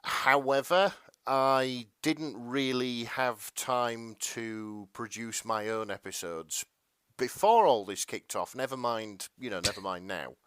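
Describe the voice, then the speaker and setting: A man chats casually as a podcast co-host. His voice is clean and smooth, recorded close and dry, and he speaks in a halting, rambling way, doubling back on his sentences. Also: mid-range voice, fairly bright tone, no room sound. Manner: hesitant, halting, self-interrupting.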